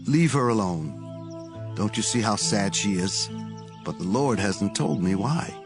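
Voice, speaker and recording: A man playing an authoritative, caring Hebrew prophet in a dramatized scripture audiobook, with a measured, commanding way of speaking. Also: lowish voice, lively intonation, over music. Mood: concerned.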